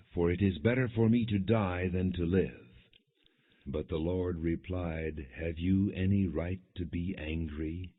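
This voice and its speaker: Low husky guy reading the bible out loud, measured and solemn, sounds kinda muffled. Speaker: audiobook narrator. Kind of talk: scripted scripture narration, non-dramatized reading. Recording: dull, muffled lo-fi audio.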